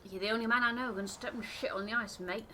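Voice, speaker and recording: A woman's voice: on the high side, fairly even intonation, quick pace, bright, forceful tone, slight background noise, pitched above the performer's own voice, low-quality recording. A woman voicing a blunt, teasing sailor in a video game, with a salty, familiar manner. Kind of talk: voiced video game dialogue.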